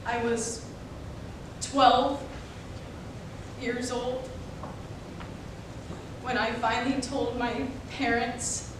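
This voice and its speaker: A young woman delivering a dramatic readers theater monologue, deliberate and emphatic, in broken phrases. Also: a little high, level tone. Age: young adult. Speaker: college speech competitor. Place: indoor performance room, recorded from afar. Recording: very echoey room, distant mic, noisy.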